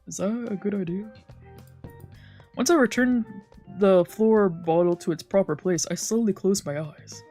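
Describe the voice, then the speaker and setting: A woman streamer reading a visual novel aloud in expressive, dramatic narration with casual asides. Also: medium pitch, a little slow. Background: game background music.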